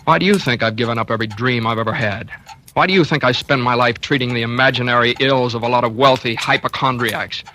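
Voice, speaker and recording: An actor playing a weary, trapped doctor who is also the narrator, in a 1940s radio drama. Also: male voice, quick pace, pushed and bright, close to an everyday voice. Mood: resentful. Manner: bitter, low and confiding. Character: weary trapped middle-aged male doctor. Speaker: narrator and lead character. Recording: old radio broadcast with faint hiss.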